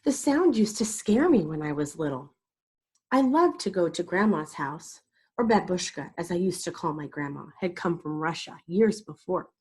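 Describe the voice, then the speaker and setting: A woman reading a story aloud to children in an expressive way, with deliberate, dramatic emphasis. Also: lowish voice, breathy edge. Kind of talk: expressive read-aloud narration.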